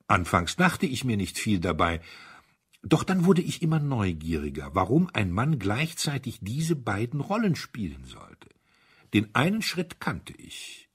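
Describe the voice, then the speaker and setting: A man voicing a gentle, thoughtful priest detective, explaining a crime in a deliberate, rhetorical storybook monologue. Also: fairly low pitch, huge pitch swings, husky, full character voice. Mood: intrigued, musing.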